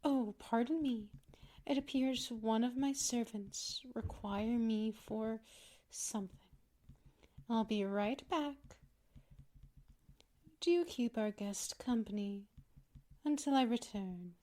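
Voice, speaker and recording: A woman's voice: on the high side, takes it slowly, pauses often, warm tone. A woman playing a gracious, ambitious, secretive duchess in an ASMR roleplay, with a refined, courtly way of speaking. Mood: polite.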